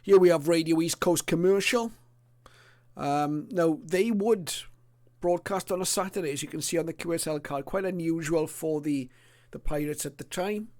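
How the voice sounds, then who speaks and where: Older-ish guy giving a casual hobby talk, bit higher pitched, pauses a lot, not scripted. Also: a little mellow. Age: middle-aged or older. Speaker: presenter.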